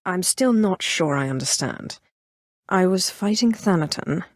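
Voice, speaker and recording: Game villainess: haughty Sith sorceress, lowish voice, dry close recording, cool sarcasm and ruthless ambition. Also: female voice, lively intonation, unhurried, no room sound. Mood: determined, businesslike. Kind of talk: scripted video game voice acting.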